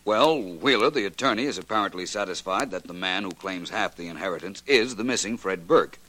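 An actor playing a suave, dryly witty American detective, measured and articulate, in a 1940s radio drama. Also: male voice, low voice, expressive, exaggerated voice. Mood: matter-of-fact. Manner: measured, articulate, gently ironic.

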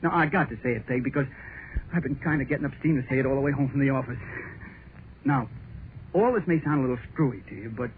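An actor playing an excited, nervous young American husband in a 1930s radio drama, gushing and rambling in slang on a scratchy recording. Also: male voice, mid-range voice, fast talker, phone-quality sound, natural voice.

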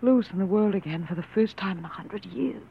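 A woman's voice: mid-range voice, a little fast, gravelly, close to an everyday voice. An actress playing a passionate young woman, a leader's sweetheart, emotional and melodramatic in mid-century radio drama style. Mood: fearful, pleading. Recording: muffled old radio recording, faint ambience.

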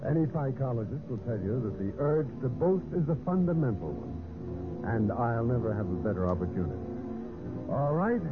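An actor playing a vain, scheming general manager in a golden-age radio drama, with a smug, boastful, smooth way of talking. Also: male voice, mid-range voice, phone-quality sound, natural voice. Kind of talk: 1940s-50s radio drama acting, narration and dialogue. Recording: band-limited vintage radio, music behind.

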